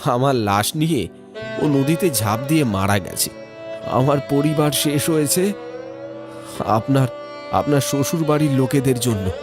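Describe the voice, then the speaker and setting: A man's voice: medium pitch, animated, measured pace, long pauses, music in the background, natural voice. A man voicing a kindly, sorrowful ghost of a poor villager in an earnest, plaintive cartoon voice-over. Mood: sorrowful, grave.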